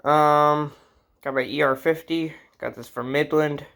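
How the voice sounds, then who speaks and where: A man's voice: on the high side, steady tone, measured pace, creaky, fairly bright tone, a little hiss or hum. A man talking casually and informally as he shows off a collection, rambling as he goes. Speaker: host showing a radio collection. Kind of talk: casual hobby show-and-tell.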